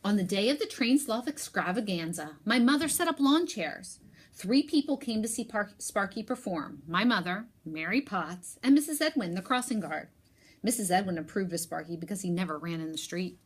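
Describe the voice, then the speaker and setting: Female primary teacher for children's read-aloud. Expressive storytelling delivery, emphatic pauses, mid-range voice. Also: mid-range voice, breathy edge. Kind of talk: animated picture-book read-aloud and talk to pupils.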